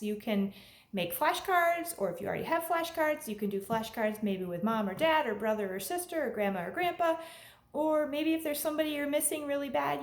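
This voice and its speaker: A woman reading aloud to young children like a teacher, in an animated way with simple phrasing addressed straight to them. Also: fairly high pitch. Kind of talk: children's read-aloud, with sung refrains and asides to listeners. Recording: echoey room with faint background noise.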